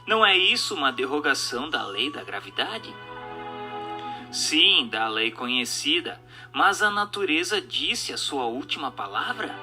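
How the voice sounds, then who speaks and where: A man's voice: fairly high pitch, very animated, unhurried. A man reads a formal text as dramatic narration, in an emphatic, rhetorical, oratorical manner. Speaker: narrator reading a book aloud. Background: music.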